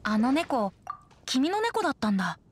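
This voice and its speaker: Playful teenage-sounding boy bard for a game: light, highish, lively, whimsical. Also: normal speed, noisy background. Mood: plaintive, light. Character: breezy, playful boyish young male bard. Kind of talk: video game voice acting.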